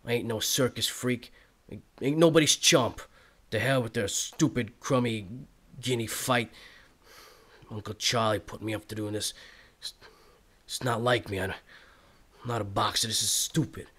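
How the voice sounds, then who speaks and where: A man's voice: medium pitch, a little slow, a bit bright. A man playing a reluctant, hot-headed young boxer in a crime drama, defensive and streetwise, stammering when cornered. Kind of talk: period crime drama acting.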